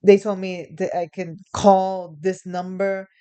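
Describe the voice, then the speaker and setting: A woman's voice: mid-range voice, level tone, takes it slowly, warm tone, clean tone. Young adult woman from New York, casual vlog storyteller, rambling and chuckling with lots of fillers. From New York, USA. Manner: rambling, chuckling, many fillers and self-corrections. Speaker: vlogger.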